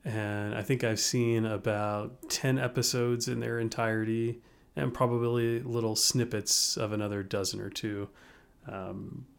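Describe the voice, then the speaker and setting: A man's voice: on the low side, flat delivery, a little slow, vocal fry, clear, resonant tone. A man co-hosting a casual podcast, easygoing and dry. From United States. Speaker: podcast co-host. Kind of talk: casual chat.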